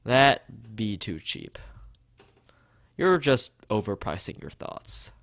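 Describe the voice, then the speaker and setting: A man with a fairly low voice reads a visual novel aloud for a stream. His pitch moves around in a very animated way as he shifts between narration and dialogue. He sometimes stumbles over a word and carries on casually. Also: slow, dry recording. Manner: stumbles over words while reading. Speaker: let's play streamer. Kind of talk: reading a visual novel aloud with character lines and casual asides.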